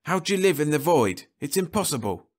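A man voicing a hot-tempered young spaceship crewman in an audiobook, speaking in sharp, indignant outbursts. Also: high-pitched, very animated, a little slow, husky, pitched above the performer's own voice, exaggerated voice. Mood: bitter, indignant. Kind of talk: audiobook character voice.

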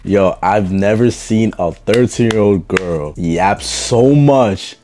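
A young man with a stuffed-up voice, low and mellow, gives blunt, slangy reaction commentary. He talks into a headset mic in his bedroom. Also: slow. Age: young adult. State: congested with a sore throat. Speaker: reaction video host. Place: bedroom studio, indoors.

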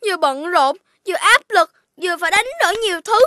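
A woman's voice: extremely high pitch, expressive, quick pace, bright, forceful tone, no room sound, studio quality, heavily acted. A woman voicing a cheeky young boy puppy in a cartoon, whiny and pleading when he is in trouble. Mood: chatty. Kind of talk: cartoon voice acting. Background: background music.

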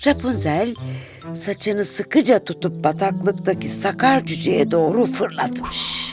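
A woman narrating a children's fairy tale in an expressive, deliberate storytelling style. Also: medium pitch. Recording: music behind the voice.

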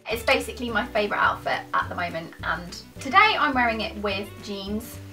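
A woman's voice: mid-range voice, lively intonation, fairly quick, sharp tone, far from the mic, faint music behind. A British woman in her twenties chatting casually to camera in an informal, self-deprecating, rambling way. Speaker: YouTuber answering questions to camera. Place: small furnished room at home, indoors.

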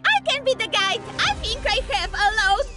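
A woman voicing a hyper, wild young camper in a cartoon, shouting in a manic, giggly way. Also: extremely high pitch, big pitch swings, moderate pace, over loud music. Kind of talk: cartoon voice acting.

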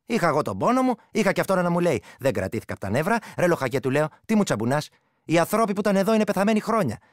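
An earthy, irreverent ex-soldier from the village spins a yarn in a radio play. His speech is full of exclamations and swings widely in pitch. The sound is close and dry. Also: big pitch swings, no echo. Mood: indignant. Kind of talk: radio play monologue, colloquial storytelling.

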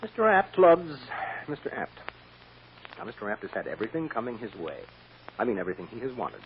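An actor playing a firm, shrewd defense lawyer with a blunt, emphatic manner in an old-time radio drama. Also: male voice, mid-range voice, sing-song, a little fast. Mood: determined. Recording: muffled, hissy old radio recording.

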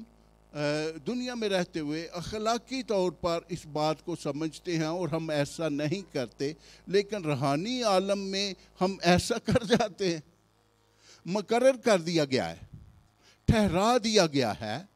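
A man with a Pakistani Urdu accent preaching from the pulpit, his voice sitting somewhat high. He takes his time, leaning on rhetorical questions and pausing often to hesitate before pressing each point. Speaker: pastor. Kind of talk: sermon.

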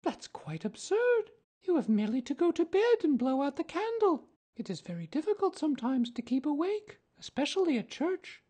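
A frank, kind-hearted young girl, voiced by a woman. She speaks in a crisp, matter-of-fact manner. Her voice is high and mellow, with a soft warmth. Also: very animated, normal speed. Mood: prim. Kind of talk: audiobook drama reading.

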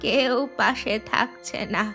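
A woman's voice: on the high side, slow, creaky, pushed and bright, full character voice. A woman voicing an aging, widowed mother-in-law in a cartoon who laments and scolds. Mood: sorrowful. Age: older. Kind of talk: cartoon voice acting. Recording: studio voice with background music.

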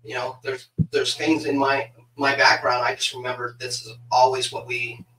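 A middle-aged man chats as a podcast host with a bright, forward voice. He speaks haltingly, doubling back on words. The sound is roomy and set back from the mic, as on a video call. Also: mid-range voice, level tone, distant mic, slight background noise. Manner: halting, repeats words as he goes. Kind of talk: casual interview chat. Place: small home office on a video call.

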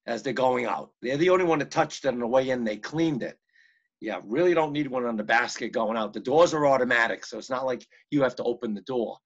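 Male, American, average pitch, rambling meeting chair on a dull, muffled video-call line. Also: fairly bright tone, husky. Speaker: board of health meeting chair. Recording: muffled, band-limited video-call audio.

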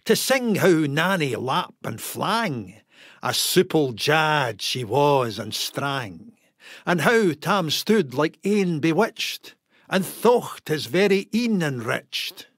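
Slow, gravelly Scottish man reciting verse. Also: a little high, no echo, studio quality. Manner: theatrical, expressive storytelling delivery. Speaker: poetry reader. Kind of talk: dramatic recitation of a narrative poem.

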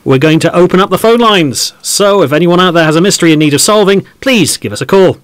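A man's voice: high-pitched, animated, quick pace, no room sound. An actor playing a chatty, easily amazed male football podcast host in a scripted audio drama. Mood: upbeat, curious.

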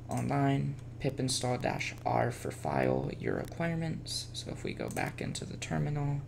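Male tutorial presenter, informal screencast narration, medium pitch with noticeable vocal fry. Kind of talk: casual software tutorial screencast voice-over. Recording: faint background noise and keyboard typing.

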